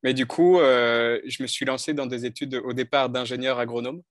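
A man's voice: medium pitch, steady tone, moderate pace, dry recording. Thoughtful man on a headset, dry sound. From northern France. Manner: thoughtful, hesitant, many restarts. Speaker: coach and speaker. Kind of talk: telling his life story in an interview. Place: bright indoor room. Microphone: headset on video call.

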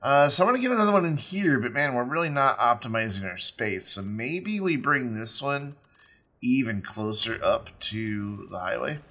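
A man with a rough, raspy voice from being sick, talking casually as he plays a game, rambling with ums and blunt asides. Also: mid-range voice, expressive, normal speed, sharp tone. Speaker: let's play host. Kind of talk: casual gameplay commentary.

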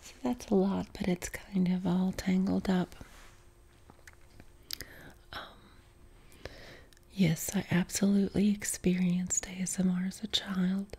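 A middle-aged woman from West Virginia with a softened Appalachian accent, whispering an unhurried question-and-answer chat from her living room couch, close to the mic. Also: lowish voice, flat delivery, husky. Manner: unvoiced whisper, unhurried with many ums. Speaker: ASMR creator. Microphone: close mic, camera framed on torso.

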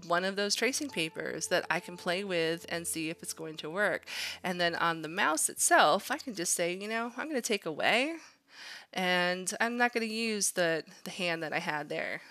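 A woman with a bright, forward voice teaches like an art instructor at work. She talks through her choices informally, chatty and encouraging. Faint music plays behind her. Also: on the low side. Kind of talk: informal drawing demonstration, thinking aloud.

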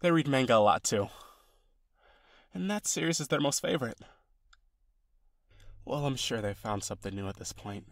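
A young man playing a friendly, sentimental old friend in an audio roleplay, casual and expressive with some hesitation. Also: medium pitch, normal speed, long pauses, no echo, clean studio sound, close to an everyday voice. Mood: casual, reflective. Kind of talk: ASMR-style roleplay, one side of a conversation.

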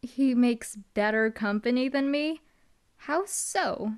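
A woman's voice: fairly high pitch, slow pace, clear, resonant tone. A young woman voicing a dry, teasing female hellhound demon bodyguard in an audio roleplay. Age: young adult. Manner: dry, teasing, laid-back.

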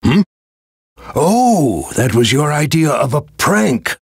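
An older man playing a humble, stern old knight and grandfather in a video game, with a gravelly, husky voice and a measured, grave manner. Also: on the high side, big pitch swings, no room sound, clean studio sound, exaggerated voice. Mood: humble, kindly. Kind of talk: video game character voice acting.

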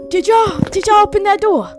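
A woman's voice: extremely high pitch, heavily acted. A woman playing a jumpy, bossy young woman in an improvised horror-comedy roleplay, stammering and repeating her words with sass.

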